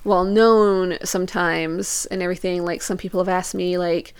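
Casual podcast storyteller: young woman, clean smooth tone, dry sound, informal and conversational. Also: fairly low pitch, flat delivery, moderate pace, no echo. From Portugal and England. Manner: informal, peppered with "like", acts out quoted questions.

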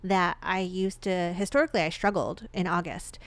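A woman's voice: lowish voice, normal speed, no echo. A young woman from Michigan co-hosting a casual podcast, sounding congested and telling rambling stories. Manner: chatty, rambling storyteller. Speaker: podcast co-host. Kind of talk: casual chat.

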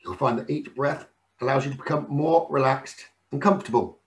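Male meditation teacher, soothing and unhurried, mid-pitched, leaving space between phrases. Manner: soothing, unhurried, with frequent pauses. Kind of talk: guided meditation narration.

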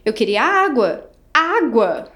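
A Brazilian woman, an English teacher, telling travel stories in a casual podcast chat, animated and acting out quoted voices. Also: a little high, slow pace. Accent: Brazilian Portuguese. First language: Portuguese.

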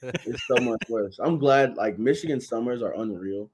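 A man's voice: medium pitch, moderate pace, a little mellow, a little hiss or hum. A 21-year-old man from Florida, a college football player, chatting casually as a podcast guest, with a laid-back, filler-heavy way of talking.

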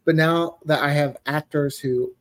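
A man with a fairly high voice talks casually as a guest writer in an interview. He explains things in loose, conversational bursts and acts out quoted reactions as he goes. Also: fairly even intonation, a little slow. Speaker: podcast guest, audio drama writer.

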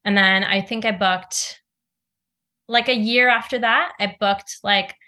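A woman in her thirties from Norway, an actor chatting casually as an interview guest, full of "like" and quick laughs. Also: medium pitch, steady tone, bright, forceful tone.

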